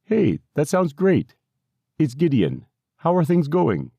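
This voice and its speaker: Friendly male caller, acted by a narrator: upbeat, animated, pitched up, easygoing audiobook dialogue. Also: takes it slowly, creaky, no echo, exaggerated voice. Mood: cheerful. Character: upbeat, friendly man on a phone call.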